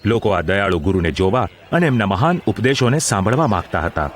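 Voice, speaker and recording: A man's voice: fairly low pitch, animated, brisk, a little hiss or hum, natural voice. A man narrating a gospel audio drama in a formal, solemn storytelling style.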